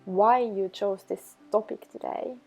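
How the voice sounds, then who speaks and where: A woman leading a guided meditation, giving instructions in slow, measured phrases. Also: medium pitch, steady tone, gravelly, warm tone. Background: background music.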